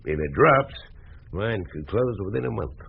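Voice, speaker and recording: An actor playing a hearty, hospitable small-town American boss in a radio drama, husky, with a folksy, hesitant manner. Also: male voice, lowish voice, expressive, moderate pace, narrow, tinny audio, slight background noise. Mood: hesitant, anxious to please.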